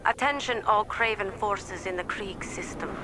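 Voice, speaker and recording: An actress playing a composed, calculating leader of a futuristic military corporation, making a formal announcement. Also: female voice, medium pitch, a little slow, sharp tone, slight background noise. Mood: composed, official. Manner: formal, official, controlled. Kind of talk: scripted official announcement in game cutscene.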